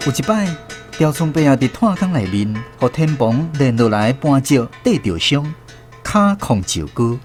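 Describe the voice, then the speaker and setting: A man's voice: medium pitch, very animated, moderate pace, music in the background, natural voice. A man with a Taiwanese accent narrating a radio drama, playing a grown man who recalls his village childhood. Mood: reminiscing. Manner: storytelling, reminiscing.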